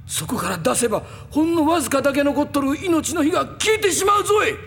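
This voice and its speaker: A man playing an excitable, caring old man in an anime, using old-fashioned, emphatic elder speech. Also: very high-pitched, quick pace, gravelly, noisy background, heavily acted. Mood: urgent warning. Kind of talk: anime voice acting. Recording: long hall reverb, loud music behind.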